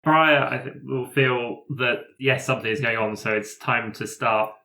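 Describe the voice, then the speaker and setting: A man playing a tabletop roleplaying game, describing character actions in a jokey, halting, improvised way. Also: mid-range voice, fairly even intonation, vocal fry, sharp tone. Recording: echoey room.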